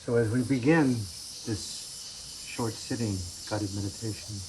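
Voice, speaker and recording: A man with a low-pitched voice speaks as a meditation teacher and podcast host. His delivery is that of someone addressing listeners directly. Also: unhurried, roomy sound, background noise.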